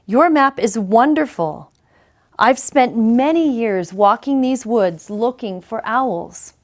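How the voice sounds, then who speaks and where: A woman's voice: fairly high pitch, lively intonation, slow pace. A woman reading the part of a kindly, earnest male teacher in a children's storybook. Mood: admiring, encouraging. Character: kindly, encouraging male teacher who loves owls.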